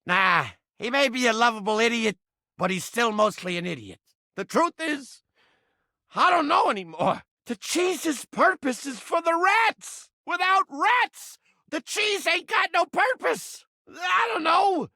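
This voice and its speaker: A man's voice: very high voice, expressive, unhurried, sharp tone, no echo, studio quality, exaggerated voice. An actor playing a cranky, manic living mousetrap in a video game, with blustering, streetwise wise-guy talk. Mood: despairing, agitated. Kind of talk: animated video game character acting.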